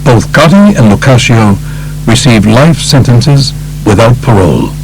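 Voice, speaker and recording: A man's voice: mid-range voice, lively intonation, measured pace, noisy background. A man narrating a true-crime book from a script. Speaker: audiobook narrator.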